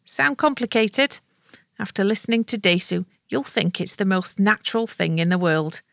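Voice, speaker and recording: A woman hosts a podcast with a gravelly, creaky voice. She talks conversationally with "you know"s here and there. Her voice is recorded close, on a dry sound. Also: medium pitch, lively intonation, moderate pace, a bit bright, no echo. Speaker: podcast host. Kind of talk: scripted podcast intro and conversation.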